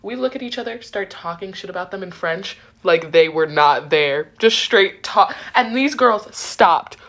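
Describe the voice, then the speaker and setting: A woman tells a story in an animated, profane, sassy way. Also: on the low side, a little slow. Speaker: interviewee. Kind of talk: casual storytelling.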